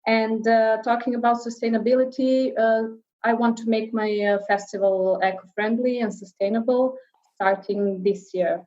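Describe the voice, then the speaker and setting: A woman answering webinar questions as a festival founder, speaking carefully and pausing often to find her words. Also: a little high, steady tone, a little mellow, dull audio. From Serbia.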